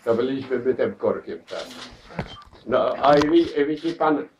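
Elderly man telling stories, halting. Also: mid-range voice, animated, a little mellow, a little hiss or hum. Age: older. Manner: halting, many restarts and repeated words. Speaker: war veteran interviewee. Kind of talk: spoken war memories. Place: crowded living room, indoors. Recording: distant, dull camera audio.

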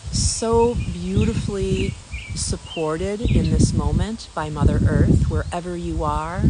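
Soothing lady doing guided meditation, super slow w long pauses, clear nature noise and birds in the background. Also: lowish voice. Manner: gentle, unhurried, with long pauses between phrases.

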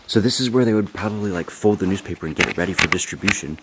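Young male urbex host, deep voice, excitable and chatty, noisy on-camera audio. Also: racing. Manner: excitable, chatty, thinks aloud. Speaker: urban explorer host. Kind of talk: casual exploring vlog. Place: abandoned newspaper building indoors.

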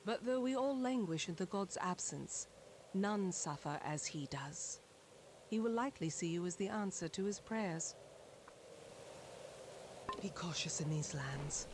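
A solemn female guide of an ancient people in a fantasy game. Her voice is low, formal and measured, with grave weight in the phrasing. Ambient noise sits behind her. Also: expressive. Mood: grave, cautioning. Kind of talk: scripted fantasy video game dialogue.

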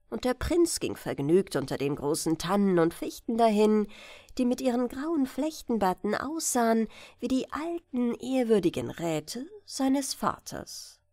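A woman narrating a fairy tale as an expressive bedtime audiobook reading. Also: mid-range voice, dry recording, clean studio sound.

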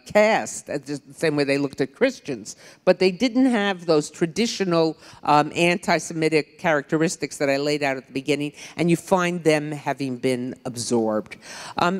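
An older woman author and historian speaking on a panel, chatty and digressive with wry asides, into a handheld mic. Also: low-pitched. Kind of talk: public panel discussion. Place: large lecture hall on stage. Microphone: handheld microphone. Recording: long hall reverb.

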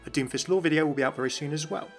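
A man's voice: fairly high pitch, very fast, faint music behind. A man hosting a game lore video, talking through voice lines in a chatty, enthusiastic voice-over. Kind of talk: scripted commentary voice-over.

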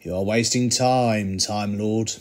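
A man playing a menacing alien criminal who feeds on fear in an audio drama, taunting in drawn-out phrases. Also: lowish voice, fairly even intonation. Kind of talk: fan audio drama acting.

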